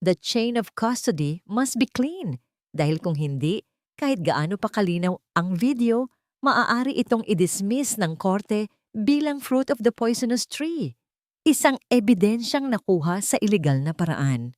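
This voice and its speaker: A woman voicing a calm but sharp female lead lawyer in an audio drama, speaking formally and deliberately with English legal terms mixed in. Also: mid-range voice, no echo, studio quality, pitched above the performer's own voice, lightly acted. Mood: firm, explaining.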